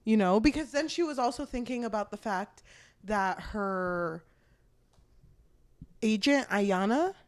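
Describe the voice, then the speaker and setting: A woman co-hosting a podcast, chatting casually about a book, animated and giggly, swearing freely as she acts out quotes. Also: mid-range voice, measured pace, pauses often, no room sound. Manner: animated retelling with acted-out quotes. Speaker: podcast co-host.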